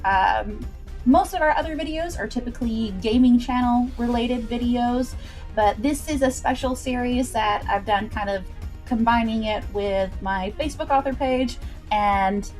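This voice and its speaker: A woman's voice: on the high side, a little slow, a little mellow. A woman hosting a livestream, chatting informally with viewers and recapping a story, with asides to the chat. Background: background music.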